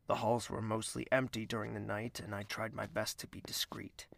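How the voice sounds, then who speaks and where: A man's voice: fairly low pitch, level tone, moderate pace, vocal fry, a bit bright, slight background noise. An actor in an audio drama playing an anxious, lovesick nobleman, hesitant and brooding as he talks and narrates. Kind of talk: audio drama dialogue and first-person narration.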